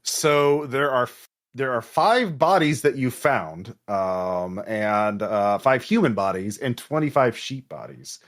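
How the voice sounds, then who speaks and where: A man running a tabletop horror game, narrating off the cuff and haltingly as he thinks aloud. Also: medium pitch, animated, fairly bright tone. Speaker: game master.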